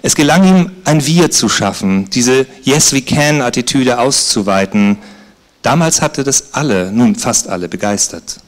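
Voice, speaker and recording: A man's voice: medium pitch, expressive. Middle-aged man reading prose aloud. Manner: measured, formal literary reading. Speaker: author. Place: literary event on a stage, indoors. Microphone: handheld mic.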